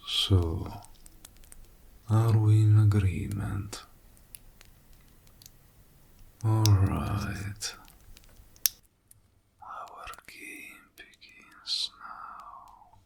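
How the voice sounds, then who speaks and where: A man playing a sly evil warlock in a roleplay audio drama, teasing and deliberate, with a breathy, gravelly low voice. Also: in a whisper, exaggerated voice. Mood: smug, satisfied. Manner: slow, deliberate, drawling, insinuating. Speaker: voice actor. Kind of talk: roleplay audio drama, intimate villain monologue.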